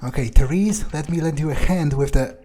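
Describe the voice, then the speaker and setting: Husky-voiced man playing a game in character. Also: on the high side, a little fast, warm tone. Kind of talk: in-character game playthrough commentary, thinking aloud. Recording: voice over game background music. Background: music.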